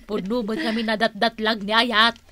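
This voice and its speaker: A woman's voice: on the high side, flat delivery, moderate pace, bright, forceful tone. A woman playing a playful, theatrical auntie in a comic radio drama. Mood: teasing, lovestruck.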